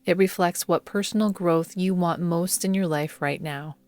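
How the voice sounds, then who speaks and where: A woman guiding a yoga nidra meditation in a soothing, measured manner, leaving long pauses between phrases. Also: on the low side, steady tone, darkish tone. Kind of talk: guided meditation narration. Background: background music.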